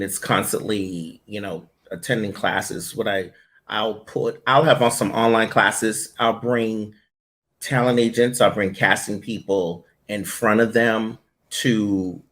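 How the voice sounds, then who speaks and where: A man's voice: on the low side, a bit bright. A man speaking as an interview guest and coach, answering conversationally and emphatically, repeating his points for stress.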